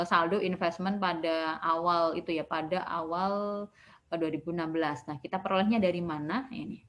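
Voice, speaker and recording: A woman giving a recorded lecture as an accounting lecturer, explaining step by step in a didactic way with frequent short tag words. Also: on the low side.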